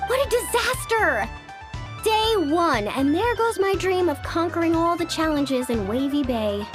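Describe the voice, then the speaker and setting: A woman's voice: extremely high pitch, very animated, unhurried, heavily acted. A woman voicing a bubbly, friendly young beach girl in a video game, breezy and gushing. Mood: dismayed then hopeful. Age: young adult. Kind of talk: animated video game voice acting. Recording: loud background music.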